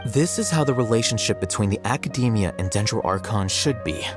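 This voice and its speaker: A man voicing a composed, matter-of-fact young scholar in a video game, dry and measured. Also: fairly low pitch, animated, natural voice. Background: game music.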